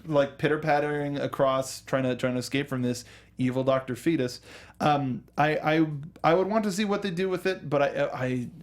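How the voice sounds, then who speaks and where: A man's voice: on the high side, moderate pace. A man hosting a casual talk show about films and games, explaining things with asides and acted-out imagined lines. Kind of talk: casual film and video game discussion.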